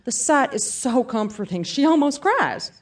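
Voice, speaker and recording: A brash, upbeat woman running a self-defense seminar in an audio play. Her voice sits fairly high and swings in lively arcs, with emphatic motivational delivery and folksy asides. She is heard a little away from the microphone, as on a stage. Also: a little slow, recorded from a distance. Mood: dramatic storytelling. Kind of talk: audio theatre monologue to a seminar audience.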